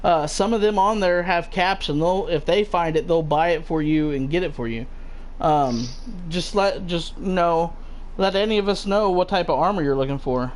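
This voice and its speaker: A man's voice: fairly high pitch, normal speed, a little hiss or hum. A man with a mild Southern US accent chatting casually about a game as host, rambling with many fillers and restarts. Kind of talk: casual gaming chat.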